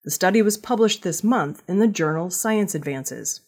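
A woman reading scripted news narration as a newsreader, with a slightly husky voice. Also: on the low side, expressive, moderate pace. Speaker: news reader.

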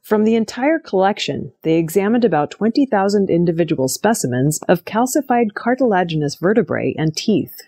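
A woman narrates scripted news reports in the role of a news reader. Her voice sits at a middle pitch for a woman. The sound is dry, with no room around it. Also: expressive, a little fast, hardly any pauses, mellow, no room sound.

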